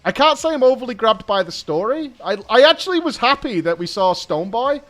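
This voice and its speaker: A man's voice: very high voice, very animated, brisk, a bit bright, faint music behind. A British man hosting a gaming show, giving casual, blunt live reactions and thinking aloud.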